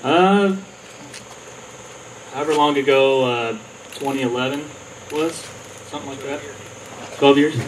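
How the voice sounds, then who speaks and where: A man's voice: medium pitch, measured pace, pauses often. A man answering questions in a modest, hesitant way, trailing off between thoughts. He is picked up from a distance on an outdoor stage, with a faint hum around him. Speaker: songwriter contestant. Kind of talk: onstage interview.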